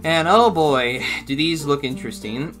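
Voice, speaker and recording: A man's voice: a little high, moderate pace, fairly bright tone, soft background music, rough recording. A young man hosting a casual reaction video from a small room, rambling and slipping in jokey asides. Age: young adult.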